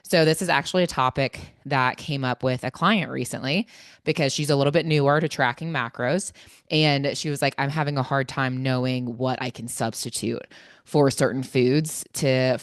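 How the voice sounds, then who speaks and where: A woman's voice: extremely low pitch, no room sound, studio quality. A woman hosting a casual solo podcast on nutrition, sounding stuffy and congested, chatty and informal with lots of fillers. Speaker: podcast host and fitness coach.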